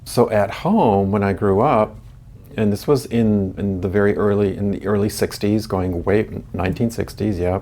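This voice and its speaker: A man's voice: lowish voice, normal speed, warm tone. A man in his sixties who grew up in Germany, a podcast guest telling his story in a reflective, chatty way with frequent restarts and small laughs. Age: 60s. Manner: reflective, chuckling, many restarts. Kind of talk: casual interview chat.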